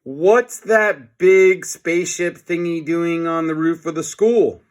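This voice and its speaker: A man voicing an excitable, quick-witted schoolboy in a children's storybook, in an exclamatory manner. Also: fairly high pitch, pitched above the performer's own voice. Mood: excited.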